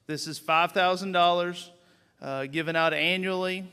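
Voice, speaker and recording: A man with a higher, bright voice is emceeing a banquet at a podium. His tone is smooth and resonant, and he speaks informally with many fillers. The hall gives his voice a long reverb. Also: fairly even intonation, moderate pace. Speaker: organization official and emcee.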